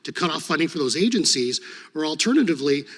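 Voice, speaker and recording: An earnest man testifying before a congressional committee, a former state attorney general who grew up speaking Serbo-Croatian. His voice is bright and forceful, slightly higher than most men's. It carries long reverb from a large hearing room through a desk microphone. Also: sing-song, quick pace. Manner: earnest, many fillers and restarts.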